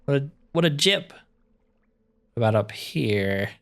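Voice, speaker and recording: A man's voice: medium pitch, lively intonation, unhurried, vocal fry, a bit bright. A man giving casual playthrough commentary as a video game host, thinking aloud with self-deprecating humor. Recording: music behind the voice. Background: game music.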